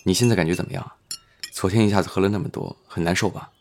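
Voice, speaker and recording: A man's voice: sits low, steady tone, fast, a little mellow. A man playing a modest, considerate male minor actor in an audio drama, speaking politely and mildly, a little hesitant. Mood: gentle.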